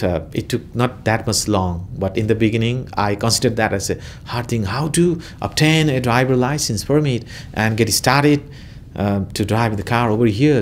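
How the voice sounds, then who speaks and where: A man's voice: mid-range voice, slight background noise. A man in his thirties with a Nepali accent, answering interview questions in a hesitant way, with many fillers and restarts.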